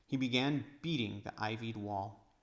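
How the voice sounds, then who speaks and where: A man's voice: fairly low pitch, moderate pace, muffled recording. A man narrating a storybook aloud as a read-aloud reader.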